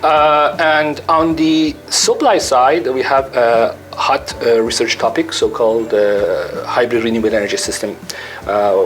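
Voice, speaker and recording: A middle-aged male professor talks through his research at a medium pitch, stopping often on fillers and repeating words before going on. His delivery is careful and explanatory, the tone of someone laying out technical work. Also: fairly bright tone. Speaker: professor, lab head.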